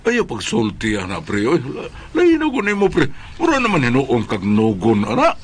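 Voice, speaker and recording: A man's voice: medium pitch, wildly swooping pitch, moderate pace, over music. A man playing a teasing, easily distracted buddy in a comic Bisaya radio drama, casual and full of exclamations. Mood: concerned, coaxing.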